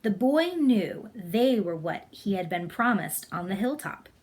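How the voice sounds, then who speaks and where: Female storybook reader, mid-pitched, for read-aloud narration of a tale. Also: lively intonation, measured pace, a little mellow.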